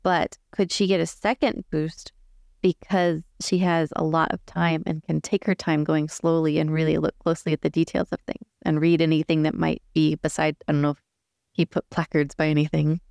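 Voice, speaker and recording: Low-pitched woman playing a tabletop game. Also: level tone, moderate pace, creaky, a little mellow, no echo, clean studio sound. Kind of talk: casual tabletop roleplay chat.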